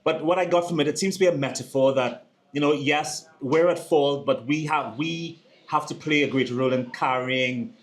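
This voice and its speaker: A man's voice: a little high, moderate pace, few pauses. A man hosting an interview, asking long conversational questions and searching for words with fillers. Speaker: host.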